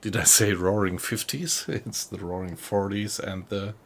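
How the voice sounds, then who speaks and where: Low gravelly German man. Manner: relaxed, slow, often quiet and mumbled. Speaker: game streamer. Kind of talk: casual gameplay commentary, chatting with viewers.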